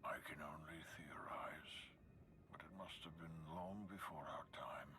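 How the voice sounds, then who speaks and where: A wise old-school warrior counselor, very low and grave. He urges and warns in measured, solemn game-drama style, over a clear rumble. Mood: grave warning. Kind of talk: video game dramatic acting.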